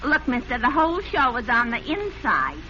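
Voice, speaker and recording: An actress playing a brisk, unimpressed box-office clerk with tart patter in 1940s radio style. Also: female voice, sits high, wildly swooping pitch, bright, forceful tone, heavily acted. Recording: muffled, faintly noisy vintage radio recording.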